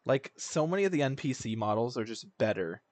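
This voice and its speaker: A man's voice: medium pitch, sing-song, a little fast, a little mellow, no room sound. A young man giving casual, rambling, jokey commentary as a game streamer.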